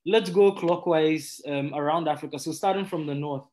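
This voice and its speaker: A man's voice: on the high side, moderate pace, darkish tone. A man moderates an online panel in a courteous, conversational way, inviting speakers and posing questions. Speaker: webinar moderator.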